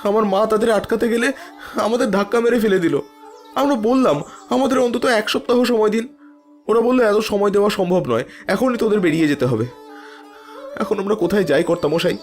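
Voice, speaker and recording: Poor young village man, male actor, high voice, urgent and proud, cartoon drama with faint music. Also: darkish tone, natural voice. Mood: pleading, panicked. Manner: urgent, rapid, emotional. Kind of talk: cartoon story dialogue.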